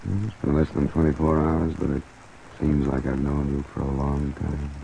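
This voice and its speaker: A hard-boiled American investigator with a deep voice. He is terse and worn down, in the manner of 1950s radio drama. Clear rain noise runs over the old recording. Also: level tone, moderate pace, warm tone, poor audio. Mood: weary, intimate. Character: tough, weary male insurance investigator narrating his case.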